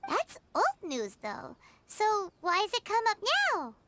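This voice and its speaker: A woman voicing a tiny, excitable fairy companion girl in a video game, with a high, bouncy, chatty voice that swings all over. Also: unhurried, dry recording, heavily acted. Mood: excited. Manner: chatty, eager, talks about herself in third person. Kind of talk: game voice acting. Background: game music.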